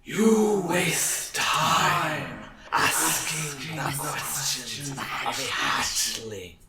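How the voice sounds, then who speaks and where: A woman voicing a colossal, ancient insect mother goddess, imperious and scornful, in a slow commanding manner for a tabletop audio drama. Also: low voice, full character voice. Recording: echoing, distant, crowd-like noise behind.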